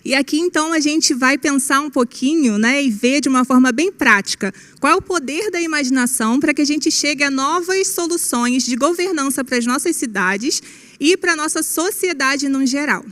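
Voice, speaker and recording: A woman's voice: high-pitched, animated, a little fast, fairly bright tone. A woman moderating an online panel discussion in a friendly, conversational way, often tagging phrases with casual fillers.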